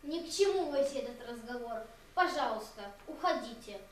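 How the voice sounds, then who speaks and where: A woman playing a gentle, principled poor female musician in a fairy-tale audio drama, speaking softly and earnestly. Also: a little high, animated, normal speed, slight background noise, close to an everyday voice. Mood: quietly firm. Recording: very echoey, distant, music behind.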